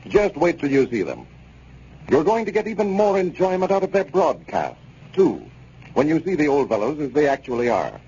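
An American man working as a radio announcer, giving a scripted pitch in a chatty, polished 1930s style. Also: wildly swooping pitch, normal speed, warm tone, dull audio. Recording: noisy, band-limited 1930s radio broadcast.

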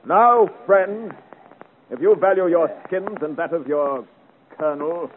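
An actor playing a bold, chivalrous young squire with defiant, declamatory speech in a 1950 radio serial. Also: male voice, a little high, measured pace, close to an everyday voice. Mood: cool, commanding. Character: bold, gallant young squire hero of great strength. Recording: noisy, tinny old 1950 radio recording.

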